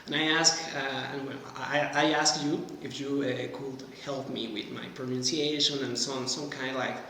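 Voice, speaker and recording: Halting Mexican man in an echoey hall. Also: medium pitch, fairly even intonation, bright, forceful tone. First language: Spanish. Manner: halting, searching for words in non-native English. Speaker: podcast guest, medical graduate. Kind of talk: casual interview answers. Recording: very echoey hall, faint background noise.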